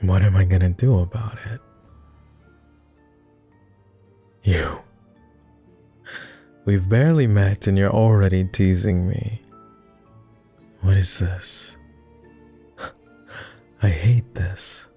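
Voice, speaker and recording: A man playing a lonely, gentle vampire who speaks formally and haltingly in an intimate ASMR roleplay. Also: sits low, fairly even intonation, breathy edge, soft background music, full character voice. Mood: flustered, shy. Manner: formal, old-fashioned wording with halting, hushed delivery. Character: clingy lonely male vampire, courtly, gentle, easily flustered.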